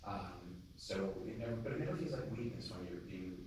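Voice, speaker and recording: A man's voice: low-pitched, animated, fairly quick, recorded from a distance, background noise. A man moderating a film festival Q&A, asking long, thoughtful questions with many restarts. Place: cinema auditorium. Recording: roomy hall, mic from afar, crowd murmur.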